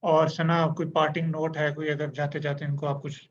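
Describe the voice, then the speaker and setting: A man's voice: fairly high pitch, flat delivery, moderate pace. A man hosting a casual online interview, chatty and agreeable, with joking asides. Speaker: host. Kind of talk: casual Urdu-English interview. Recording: dull lo-fi sound with faint noise.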